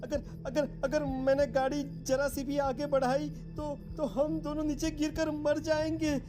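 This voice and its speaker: A man's voice: extremely high pitch, level tone, measured pace, over music. A man playing a terrified victim who stammers and begs for his life in a horror cartoon. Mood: terrified, pleading. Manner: stammering, repeating pleas.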